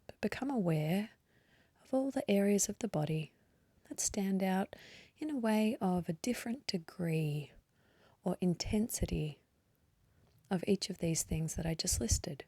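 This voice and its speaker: Slow, breathy woman guiding meditation. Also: medium pitch, lively intonation, dry recording. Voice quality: soft, breathy, partly whispery. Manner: gentle, unhurried, with long pauses. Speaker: meditation guide. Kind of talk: guided meditation, slow calm instruction.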